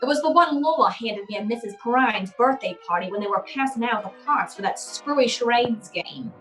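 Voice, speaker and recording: A woman's voice: on the high side, lively intonation, brisk, a bit bright, soft background music, rough recording. A woman playing a cynical, wry private eye, curt and hardboiled, in an old-time radio noir drama. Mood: wry narrating.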